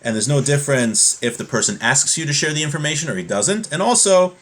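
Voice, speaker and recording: A man's voice: on the high side, lively intonation, brisk, fairly bright tone. A man giving an explanatory class on religious law, reading from a text and restating each point in a didactic way. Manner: didactic, repeats and rephrases points with examples. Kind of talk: explanatory text study, reading passages aloud then explaining.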